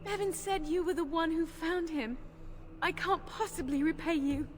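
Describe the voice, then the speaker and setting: A woman's voice: extremely high pitch, normal speed, slight background noise. An actress playing a grateful, devout young village woman in a video game, giving earnest thanks.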